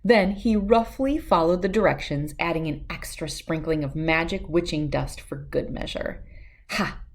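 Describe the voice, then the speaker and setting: A woman reading a children's story aloud as narrator, with expressive, dramatic and deliberate phrasing. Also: low voice.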